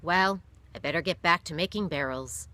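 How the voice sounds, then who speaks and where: A woman's voice: lowish voice, normal speed, bright, forceful tone. A woman voicing a weary father, once a ship captain, who explains things firmly in a read-aloud story. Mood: earnest. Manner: explaining, firm.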